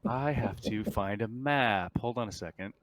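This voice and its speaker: A man's voice: on the low side, normal speed, fairly bright tone. A man acting as game master, talking casually and thinking aloud in a hesitant way. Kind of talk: casual tabletop game talk.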